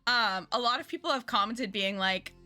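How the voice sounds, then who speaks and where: A woman's voice: on the high side, normal speed, bright, forceful tone, soft background music. A young woman streamer chatting casually with her viewers, giggly and self-deprecating, a little phlegmy with a lingering cough.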